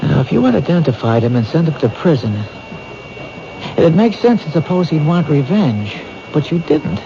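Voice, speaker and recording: An actor playing a determined male police detective, reasoning aloud in a lively, insistent way in a 1970s radio drama. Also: fairly high pitch, normal speed, gravelly. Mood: urgent. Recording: dull lo-fi vintage radio broadcast with hiss.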